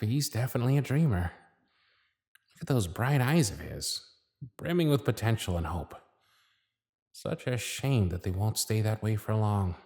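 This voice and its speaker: A man's voice: mid-range voice, no echo, studio quality, full character voice. A man playing a weary elf supervisor. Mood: wry. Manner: exasperated pep talks sliding into gloomy muttering. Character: weary male elf supervisor at a toy workshop. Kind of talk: ASMR audio roleplay.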